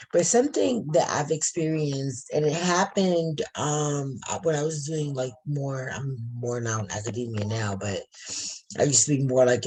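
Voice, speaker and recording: A woman with a deep voice, a participant sharing a personal experience in a group discussion, talking in a thoughtful, searching way with many restarts. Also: warm tone, poor audio. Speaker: workshop participant.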